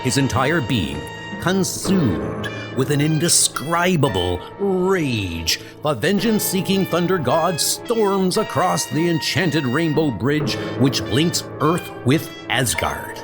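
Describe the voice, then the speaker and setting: A man's voice: fairly high pitch, big pitch swings, slow pace, over music. A man narrating a comic book story in a dramatic scripted style.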